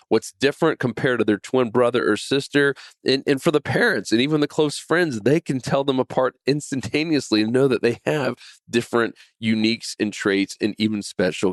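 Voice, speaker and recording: A man in his forties talks straight into a close, dry microphone. His pitch sits in an ordinary middle range, and his delivery is earnest and conversational. He often stumbles and repeats words while hosting a casual faith talk. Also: dry recording. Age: 40s. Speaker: podcast host.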